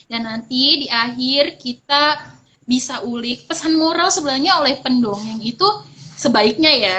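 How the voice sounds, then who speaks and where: A young adult woman explains things in a casual, expressive storyteller's way, as a guest speaker. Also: high voice, slight background noise, low-quality recording.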